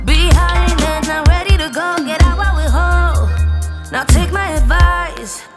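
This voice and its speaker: A woman's voice: very high-pitched, measured pace. A woman singing pop song vocals. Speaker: singer. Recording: produced song with loud backing music.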